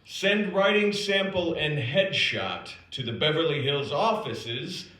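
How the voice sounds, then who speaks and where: A man's voice: fairly high pitch, animated, takes it slowly, bright, forceful tone. An American man performing a scripted comic personal story live, with a dramatic, wry, self-deprecating delivery. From United States, South Dakota. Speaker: storyteller performing own written piece. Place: indoor performance space at an acting institute. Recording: roomy, echoing live stage recording.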